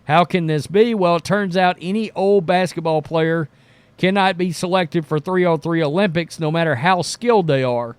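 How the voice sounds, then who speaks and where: High-pitched man giving blunt sports commentary. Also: moderate pace, smooth, no room sound. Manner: blunt, informal, mildly profane. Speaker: sports commentator, show host. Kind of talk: casual opinionated sports commentary.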